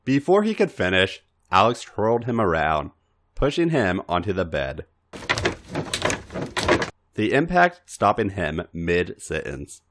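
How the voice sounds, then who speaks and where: A man with a fairly deep voice reads fiction aloud for a podcast. His narration is highly animated, with big swings in pitch across the phrases. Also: a little slow, long pauses, a little hiss or hum. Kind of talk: expressive scripted story narration.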